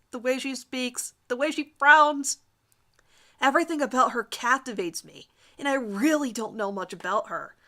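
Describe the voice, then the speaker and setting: A woman's voice: mid-range voice, pushed and bright. A woman voicing a vain, lovestruck teenage boy who narrates his crush with overwrought emphasis in a melodramatic fan fiction reading. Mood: smitten, yearning.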